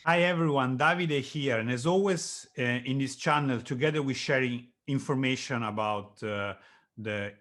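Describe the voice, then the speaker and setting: A man's voice: mid-range voice, moderate pace, fairly bright tone. Man hosting an interview channel. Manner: friendly, hesitant with frequent uh fillers, non-native phrasing. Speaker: YouTube channel host, interviewer. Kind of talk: video call interview.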